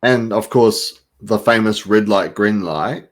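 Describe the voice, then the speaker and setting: A man's voice: fairly low pitch, slow pace. A young man with an Australian accent hosting a casual podcast interview, laid-back and full of slang. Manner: laid-back, slangy, says "bro" and "reckon". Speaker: podcast host.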